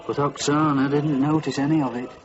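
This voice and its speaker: Actors playing men in a tavern who take turns telling a tale in an easy, conversational way in a radio play. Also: male voice, a little high, fairly even intonation, brisk, faint music behind, close to an everyday voice. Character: chatty male tavern storytellers. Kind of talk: radio drama narration. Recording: muffled lo-fi radio recording.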